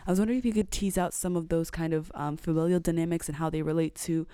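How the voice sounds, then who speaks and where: An interviewer with a warm, mellow voice asks a long question in a dry, close recording. The questioning is careful, with frequent fillers. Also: medium pitch, steady tone, fast, clean tone, no echo.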